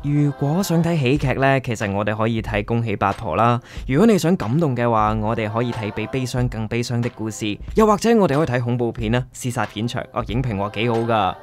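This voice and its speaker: A man's voice: medium pitch, expressive, fast talker, hardly any pauses, natural voice. An actor playing a considerate, attentive man courting a woman in a radio drama, with a Hong Kong Cantonese accent. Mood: eager. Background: faint background music.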